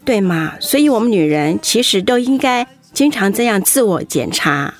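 A woman's voice: mid-range voice, animated, normal speed, natural voice. An actress playing an attentive, encouraging female radio host in an audio drama. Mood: attentive, chatty. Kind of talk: radio drama acting as presenter.

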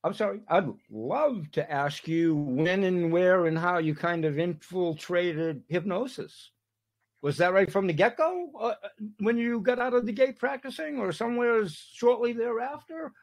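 A man's voice: high voice, unhurried. A man hosting an interview, talking in a rambling, apologetic way while losing his voice. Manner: rambling, apologetic, frequent "correct" and "right" interjections. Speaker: interview host. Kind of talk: conversational interview.

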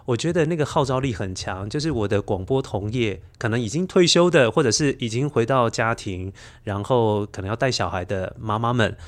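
A middle-aged man speaks with a Taiwanese Mandarin accent at a middle pitch. He is a podcast guest with a radio background, explaining his craft in a measured, reflective manner. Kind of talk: interview answers, explaining behind-the-scenes work.

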